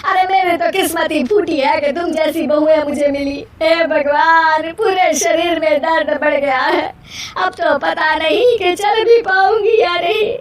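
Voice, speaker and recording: Woman doing bossy old mother in law for a moral story, high pitched, scolding and wailing, bit far from mic. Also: measured pace, reverberant. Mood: exasperated. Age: older. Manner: loud, complaining, melodramatic.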